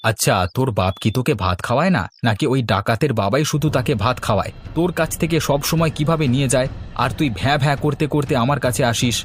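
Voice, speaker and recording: A man's voice: medium pitch, quick pace, slight background noise, natural voice. Fussy old village man as acted by a man. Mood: scolding. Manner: homely, chiding, fussy. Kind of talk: cartoon dialogue. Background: faint music.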